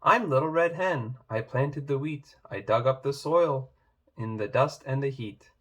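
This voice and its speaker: A man voicing a hardworking, proud and reproachful little red hen in a measured rhyming storybook recitation. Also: medium pitch, a bit bright, slightly rough. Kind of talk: children's storybook read-aloud.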